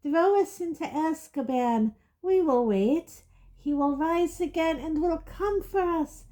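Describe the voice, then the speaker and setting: A woman's voice: high-pitched, mellow, pitched above the performer's own voice. Woman doing a fanatical, defiant prisoner woman. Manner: slow, proud, menacing declaration.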